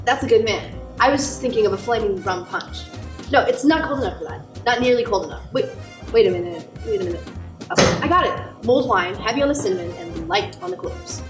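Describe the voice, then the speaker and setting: A woman's voice: fairly high pitch, lively intonation, fairly quick, natural voice. A woman playing an earnest, childlike second-class guardian angel, fussy and chatty, in an amateur radio play. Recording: roomy echo with music behind.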